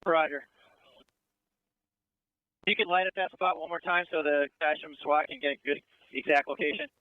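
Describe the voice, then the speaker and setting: A man's voice: fairly high pitch, fairly even intonation, normal speed, dry recording. A male police officer talking over police radio, informally checking details. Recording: dry, tinny police radio channel.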